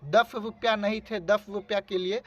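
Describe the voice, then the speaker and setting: Interviewer of unclear sex, Bhojpuri-influenced Hindi, mid pitch, insistent, probing, repetitive questioning. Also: fairly even intonation, normal speed, dull audio. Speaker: interviewer for an online news channel.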